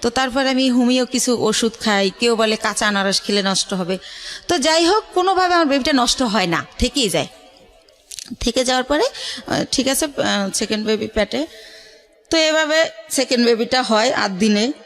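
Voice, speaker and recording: A middle-aged Bangladeshi woman with a fairly high voice speaks as a radio interview guest. She recounts personal experiences in a halting way, pausing often as she goes. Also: animated, fairly bright tone. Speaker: guest telling her life story.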